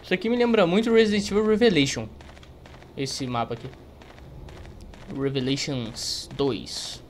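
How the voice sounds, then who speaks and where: A man's voice: a little high, fairly even intonation, normal speed. A young Brazilian man giving casual, slangy, joking gameplay commentary in a rambling way into a close desk microphone. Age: young adult. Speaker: gaming streamer. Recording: noisy, game ambience and typing. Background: game ambience, keyboard typing.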